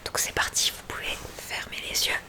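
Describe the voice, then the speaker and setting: A woman whispering softly and breathily in an ASMR roleplay as a gentle, reassuring hearing examiner. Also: moderate pace.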